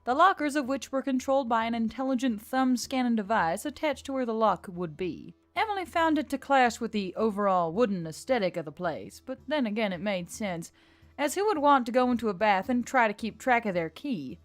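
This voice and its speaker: A woman's voice: fairly high pitch, expressive, a little fast. A woman reading scripted fiction as an audiobook narrator. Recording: music behind the voice. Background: music.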